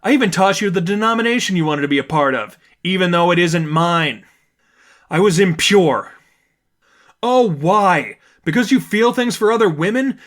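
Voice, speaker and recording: A man's voice: high-pitched, fairly bright tone, pitched above the performer's own voice. A man voicing an angry, argumentative young woman, an impassioned and condescending friend, in a dramatized story reading. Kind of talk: dramatized fan fiction reading.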